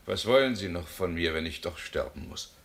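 A man's voice: low-pitched, animated, normal speed. An actor playing a condemned man who pleads his innocence from his cell. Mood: weary. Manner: impassioned, crying out his innocence. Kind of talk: radio drama acting.

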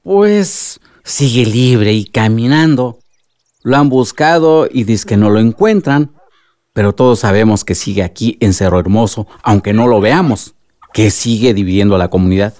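An actor playing a wise, loving elderly village grandfather in a radio drama, with a Mexican accent and a measured, reflective way of advising. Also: on the low side, expressive, darkish tone, no echo, lightly acted. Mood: grave, advising. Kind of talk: Spanish-language radio drama acting.

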